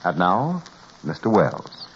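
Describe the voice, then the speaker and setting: A man's voice: sits low, big pitch swings, takes it slowly, slight background noise. A man reading a scripted 1940s radio advertisement with an emphatic salesman's delivery. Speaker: commercial announcer. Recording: muffled vintage radio broadcast.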